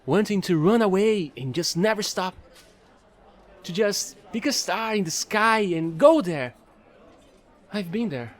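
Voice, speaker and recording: Guy doing a chatty fallen angel space pilot, high voice that swoops around, playful audio roleplay. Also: expressive, measured pace, long pauses. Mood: wistful. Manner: rambling, playful, pleading, casual slangy talk. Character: offbeat fallen angel space trader, non-binary, eager and chatty. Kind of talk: audio roleplay monologue addressed to the listener.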